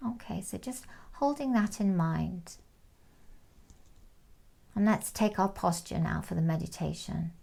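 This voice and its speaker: A woman leading a guided meditation in a calm, soothing, unhurried manner, with soft phrase endings and long pauses. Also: on the low side, gravelly. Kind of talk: guided meditation, gentle instruction.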